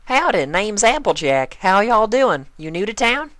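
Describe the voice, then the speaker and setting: A woman's voice: medium pitch, very animated, measured pace, fairly bright tone, no room sound, voice pushed up. A woman voicing a friendly, forthright young farm mare in a cartoon story, with a Southern US accent. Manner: folksy, forthright.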